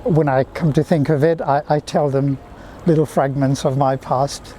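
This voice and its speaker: A middle-aged man from Fiji, answering interview questions in a reflective, measured way. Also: on the high side, steady tone, mellow, slight background noise.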